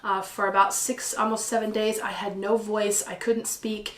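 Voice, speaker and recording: A woman vlogging casually to camera, a little hoarse and clearing her throat now and then, chatty and excitable. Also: medium pitch, normal speed, roomy sound. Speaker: photography vlogger. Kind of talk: casual vlog talk to camera. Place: living room at home by a patio door.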